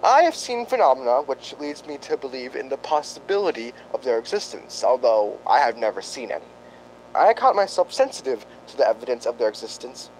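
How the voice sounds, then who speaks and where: A man's voice: medium pitch, sing-song, brisk, darkish tone, slight background noise, lightly acted, rough recording. A man playing a solemn, earnest ghost-hunting doctor in an amateur radio drama, speaking in a formal, pedantic, grave manner. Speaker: paranormal investigator.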